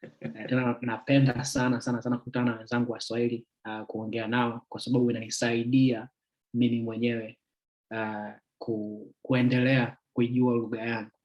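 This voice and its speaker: Guest speaker, 20s male, easygoing, halting delivery, middle pitch. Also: level tone, a little mellow, dull audio. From United Kingdom. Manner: friendly, chatty, halting with many fillers. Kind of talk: casual talk to an online group.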